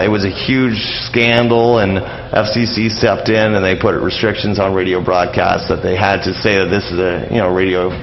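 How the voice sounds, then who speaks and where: A man giving casual, rambling answers as an interview guest, halting and repeating his words. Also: lowish voice. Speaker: radio show host as interview guest. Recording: dull lo-fi audio, clear background noise.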